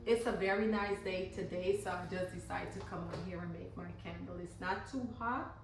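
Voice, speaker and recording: A woman's voice: fairly low pitch, steady tone, moderate pace, music in the background. A woman from Guyana with a Guyanese accent, casually demonstrating candle making in her home garage and chatting as she works. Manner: chatty, loosely repeats herself while working. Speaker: candle maker. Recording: echoey garage, mic far off. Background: passing cars and lawn mowing.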